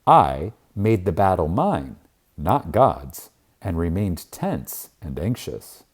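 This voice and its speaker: A man narrating a spiritual audiobook in a reverent, emphatic manner with deliberate dramatic pauses. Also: sits low, very animated, breathy edge.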